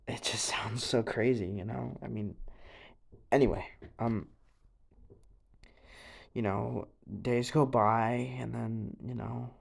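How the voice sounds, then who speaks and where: A young man playing an anxious, haunted teenage boy in an audio drama monologue, speaking haltingly with stammers, sighs and a creaky voice. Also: lowish voice, heavily acted. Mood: uneasy, doubtful. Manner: halting, stammering, sighing, nervous breathy laughs.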